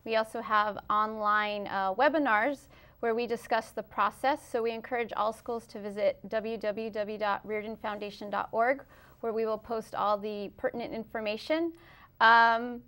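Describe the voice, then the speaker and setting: A woman's voice: on the high side, fairly even intonation, normal speed, a bit bright, smooth. A woman giving explanatory answers as an interview guest, in a professional, informative manner. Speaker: interview guest, foundation president.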